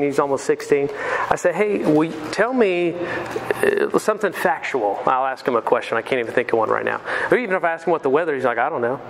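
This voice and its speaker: A chatty, self-deprecating man in his thirties, from Pensacola, gives a casual finance seminar. His voice sits a little on the high side, and he keeps asking the room questions. Faint room noise sits behind him. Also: fairly bright tone, rough recording. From Pensacola, Florida. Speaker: mortgage sales manager giving a seminar. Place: lecture room.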